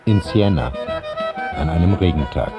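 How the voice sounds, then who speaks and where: Deep voiced guy reading out radio play titles, slightly muffled, music loud behind him. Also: animated, moderate pace. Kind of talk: scripted title announcement.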